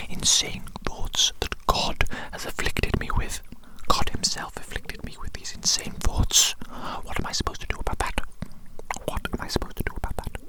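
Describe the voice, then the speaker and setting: A performer whispering an ASMR roleplay as a doting, rambling evil scientist, with breathy, repetitive, grandiose and formal phrasing. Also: close to an everyday voice, poor audio. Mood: distracted, doting. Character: evil mad scientist doctor, doting, rambling, existentially brooding creator.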